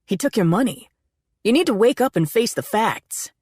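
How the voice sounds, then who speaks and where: A woman's voice: fairly high pitch, big pitch swings, normal speed, darkish tone, husky, dry recording, studio quality, voice pushed up. A woman narrator voicing a possessive, volatile young man who talks in a sullen, accusing way.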